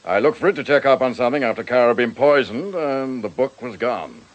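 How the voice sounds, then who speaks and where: Man playing a brash, animated American businessman. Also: medium pitch, fast talker, lightly acted. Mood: affable, candid. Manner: blunt, slangy, folksy. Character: brash, shrewd American businessman under questioning. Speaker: actor in a radio detective drama. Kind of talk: radio drama acting.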